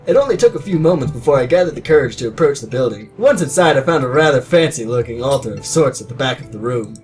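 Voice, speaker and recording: A man's voice: mid-range voice, expressive, fast talker, a little mellow, soft background music. A man in his twenties narrating a story in a readalong, breaking in with crude, sarcastic, self-mocking asides. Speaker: reader of a comedic readalong.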